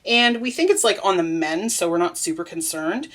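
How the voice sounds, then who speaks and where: A woman's voice: fairly low pitch, expressive, normal speed. A young woman making soap and chatting through it, with a stuffy, sniffly nose, laughing often and rambling with lots of fillers. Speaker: soap maker. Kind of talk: casual vlog narration while working.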